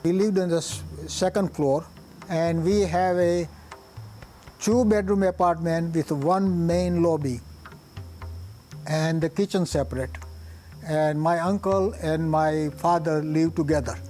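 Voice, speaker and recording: An older man with a Gujarati Indian accent, native in Gujarati, looks back on his childhood in an oral history. His voice sits a little high for a man but sounds mellow and soft-edged, and he talks plainly, pausing and restarting as he searches for words. Faint music plays softly behind him. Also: fairly even intonation. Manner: halting, plain-spoken, pauses and restarts. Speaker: physician recalling his life.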